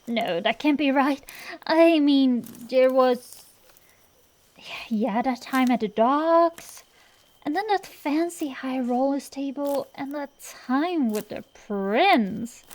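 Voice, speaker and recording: A woman's voice: high-pitched, expressive. A woman playing a cocky, defensive tomboy dragon-girl sorceress in a comedic ASMR roleplay, blustering and bantering through her excuses. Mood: sheepish, evasive. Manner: blustering, bantering, hesitant excuses full of drawn-out pauses. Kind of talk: ASMR roleplay, one-sided comedic dialogue.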